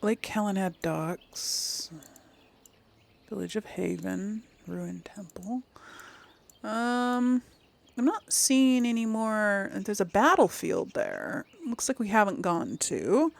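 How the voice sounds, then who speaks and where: A woman's voice: medium pitch, lively intonation, takes it slowly, creaky, no room sound. A woman giving casual game playthrough commentary, thinking aloud and muttering to herself as she goes. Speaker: gaming channel host.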